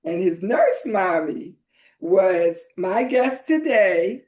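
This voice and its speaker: Mature woman podcast host, slow-paced, informal and unscripted, mid-range voice. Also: mid-range voice, big pitch swings, a little mellow. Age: middle-aged or older. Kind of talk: conversational podcast introduction and interview.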